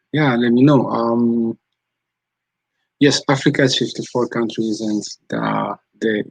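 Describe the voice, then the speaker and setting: A man's voice: mid-range voice, creaky, no echo, low-quality recording. A man from Rwanda, hosting a live stream, chatting casually and answering viewers' comments as they come in. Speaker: channel host.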